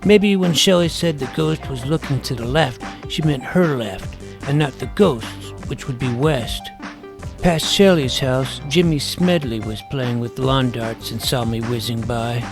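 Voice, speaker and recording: Give this an earnest, self-important boy detective played by a man telling his comic mystery in an audio drama. The delivery is deadpan and precise, with a creaky edge to the voice. Music plays behind it. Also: mid-range voice, unhurried, natural voice. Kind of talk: first-person scripted narration of a comic mystery.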